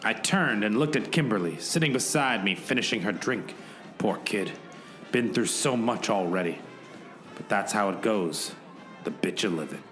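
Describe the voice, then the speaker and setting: A man's voice: fairly low pitch, expressive, normal speed, fairly bright tone, faint music behind. A man playing a weary, world-wise private detective in a hard-boiled radio play, brooding and dramatic. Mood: wry narration. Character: weary, world-wise male gumshoe detective narrating his case.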